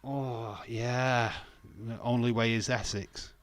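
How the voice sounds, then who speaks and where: A man's voice: medium pitch, fairly even intonation, takes it slowly. A man with a thick Yorkshire accent chatting casually on a livestream, jokey and prone to swearing. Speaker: wrestling watch-along streamer.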